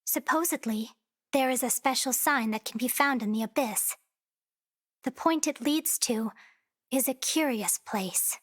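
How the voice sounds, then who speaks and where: High-voiced, oddly formal girl. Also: measured pace, fairly bright tone. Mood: matter-of-fact. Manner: stilted, formal, earnest. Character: devoted, oddly formal, possessive young girl. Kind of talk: anime game dialogue.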